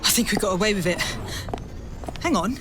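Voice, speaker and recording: Casting: woman to play sardonic, questioning young sidekick, expressive intonation, audio drama amid noisy effects. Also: medium pitch, brisk, natural voice. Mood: wary, teasing. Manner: casual, questioning, wry. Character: Nova, plucky, sardonic young female companion. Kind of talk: full-cast audio drama acting. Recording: noisy effects and music behind the voice.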